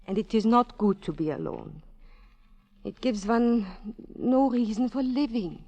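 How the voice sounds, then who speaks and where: An actress playing a lonely, middle-aged widowed innkeeper, gentle and formal, in a 1940s radio drama. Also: female voice, on the high side, animated, a little slow, no room sound, muffled recording. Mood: wistful, concerned. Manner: wistful, formal, careful phrasing.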